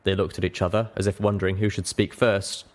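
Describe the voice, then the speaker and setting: A man reading scripted first-person fiction as an audiobook narrator, with a dramatic, expressive delivery. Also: sits low, brisk.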